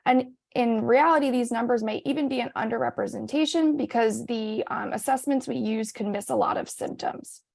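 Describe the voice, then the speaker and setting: A woman researcher giving a measured presentation at a virtual conference. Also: fairly high pitch, creaky, darkish tone, dry recording. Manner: measured, reads prepared points.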